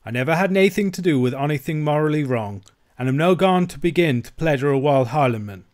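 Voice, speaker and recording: A miserly old Scottish uncle, as a male narrator acts him out in an audiobook. His voice climbs high and loud as he whines and snarls. He sounds cowardly and self-righteous. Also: expressive, brisk, natural voice. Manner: wailing, snarling protests. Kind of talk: audiobook character voice.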